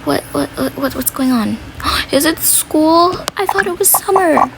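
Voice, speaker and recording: A woman puts on a pleading young daughter for a homemade role play. The voice jumps high, whiny and insistent, stretching words out. The recording has clear background noise. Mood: groggy, whiny. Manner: whining, drawn-out pleading. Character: whiny, pleading kid daughter, excitable and stubborn. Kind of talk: amateur family role play. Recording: noisy home recording.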